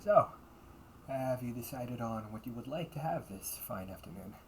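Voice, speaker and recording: Performer acting a delinquent older brother as cafe butler, medium pitch, formal politeness, grudging edge, amateur audio roleplay, slight background noise. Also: expressive, moderate pace, lightly acted, low-quality recording. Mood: courteous, restrained. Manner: stiffly formal service politeness, slipping into muttered threats.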